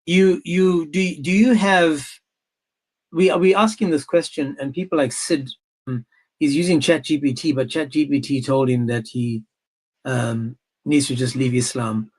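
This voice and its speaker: A man's voice: on the high side, fairly even intonation, a little slow, a little mellow. A man hosting a livestream debate, goading his guest with pointed questions. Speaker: debate stream host.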